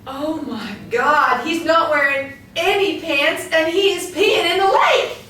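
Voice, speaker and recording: Woman acting a high, fretful society lady. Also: lively intonation, measured pace, bright, forceful tone, heavily acted. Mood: alarmed. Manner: exclamatory, fretful. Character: chirpy, anxious, proper female friend and helper. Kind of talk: stage play table reading. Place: small indoor room, seated with scripts. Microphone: distant camera. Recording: echoey room, distant mic, faint noise.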